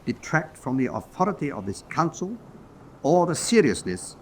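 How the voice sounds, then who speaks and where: An actor playing a stern senior officer presiding over a military tribunal, speaking formally and with deliberate command. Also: male voice, on the high side, big pitch swings, a little hiss or hum. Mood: stern, grave. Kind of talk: dramatic radio acting.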